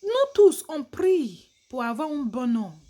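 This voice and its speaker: A woman's voice: high-pitched, sing-song, a little slow, dark tone. A woman vlogger, a native English speaker, giving casual advice in broken French with an English-speaking accent, chatty and laughing easily. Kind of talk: casual advice vlog to camera.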